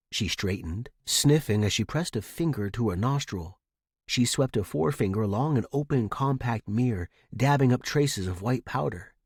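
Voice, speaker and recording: A man narrating a scripted pulp fiction story with expressive, dramatic delivery. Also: mid-range voice, slightly rough, no echo, clean studio sound. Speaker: audiobook-style fiction narrator.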